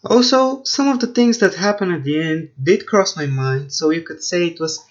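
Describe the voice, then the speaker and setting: A man with a slightly high voice delivers a game review as a scripted voice-over. His wording is casual and opinionated, with the odd swear word. The recording sounds somewhat muffled and lo-fi. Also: moderate pace.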